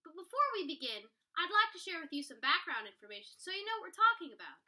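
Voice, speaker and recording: A woman's voice: very high-pitched, quick pace. A teenage girl reading aloud a scripted story and presentation as a student storyteller. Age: teens. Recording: distant mic, loud steady background noise.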